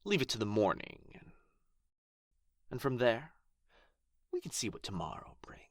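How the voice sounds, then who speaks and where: A man's voice: medium pitch, wildly swooping pitch, normal speed, dry recording, studio quality. A man playing a proud, pompous male dragon in a fantasy ASMR roleplay, with archly formal, theatrical phrasing. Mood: sly, possessive. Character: proud, haughty injured male dragon, grudgingly grateful, teasingly possessive.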